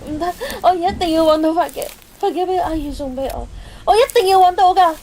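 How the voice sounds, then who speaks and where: Very high-voiced heartbroken schoolgirl, acted by a woman. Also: a little fast, mellow, slight background noise. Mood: desperate, tearful. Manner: pleading, emotional delivery. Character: heartbroken, devoted teenage schoolgirl. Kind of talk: Cantonese audio drama acting.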